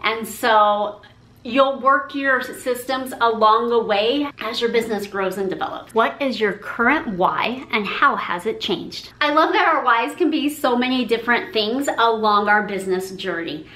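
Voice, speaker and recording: A woman's voice: fairly high pitch, measured pace, bright, forceful tone, roomy sound, low-quality recording. A woman in her forties talking to the camera, upbeat and encouraging as she shares business tips and gestures while she speaks. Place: room with a pink painted brick wall.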